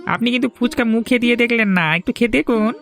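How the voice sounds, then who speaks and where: A man's voice: extremely high pitch, quick pace, gravelly, fairly bright tone, full character voice. A man voicing an eager, hospitable street-food seller in a Bengali cartoon. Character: friendly, coaxing street-food stall owner. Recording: music behind the voice.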